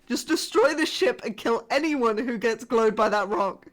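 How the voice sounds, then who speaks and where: A woman playing a frightened, pleading yet defiant spaceship crew member in a horror sci-fi audio drama, with halting, emotional delivery. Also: fairly high pitch, expressive, natural voice. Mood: grave, urgent.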